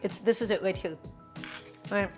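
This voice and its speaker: A woman's voice: medium pitch, normal speed, music in the background. A woman on a live cooking stream, talking to chat step by step in an upbeat way and often saying "guys" and "okay". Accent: her own distinct accent, not Brooklyn.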